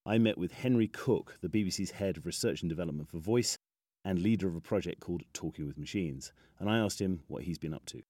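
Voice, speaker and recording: A man's voice: low voice, fast, darkish tone, no room sound. A man presenting a podcast with a scripted introduction. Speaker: podcast host.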